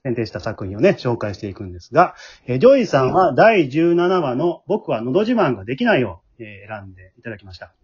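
A man with a Kansai accent hosting a casual podcast chat, chatty and quick to echo agreement. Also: medium pitch, very animated, a little mellow, breathy edge, muffled recording. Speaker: podcast host.

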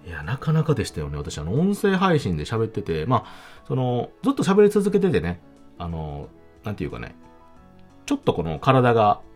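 A man with a Kansai accent hosting a casual solo talk podcast, chatting in an animated, emphatic way. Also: mid-range voice, normal speed. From Kansai, Japan. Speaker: podcast host. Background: background music.

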